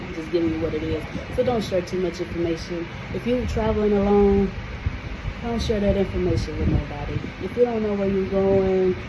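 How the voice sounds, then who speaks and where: An American woman in a casual to-camera vlog, talking in a chatty, emphatic way and checking in with the viewer often. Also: mid-range voice. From United States. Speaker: vlogger. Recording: noisy room with air conditioning hum.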